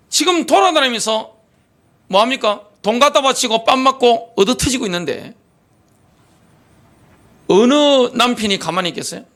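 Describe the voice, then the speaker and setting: Gravelly high-pitched man preaching with fervor. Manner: impassioned preaching with rhetorical questions and sudden drops to quiet. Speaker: pastor. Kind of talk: sermon. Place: church sanctuary.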